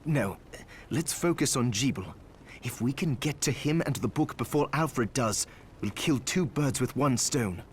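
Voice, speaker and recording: A man acting a calm, serious alchemist who advises in a measured, considerate way in a video game cutscene. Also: medium pitch, a little hiss or hum, close to an everyday voice. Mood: resolute. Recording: loud music under the voice.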